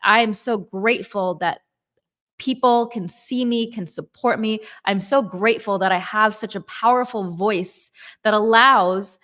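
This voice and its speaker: A woman giving a slow, emphatic motivational talk on manifestation and gratitude. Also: mid-range voice, fairly bright tone. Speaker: manifestation coach.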